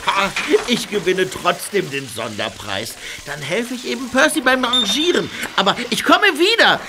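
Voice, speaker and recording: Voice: mid-range voice, very animated, normal speed, heavy background noise. Eager, cheeky little engine. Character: eager young male tank engine. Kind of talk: children's audio drama.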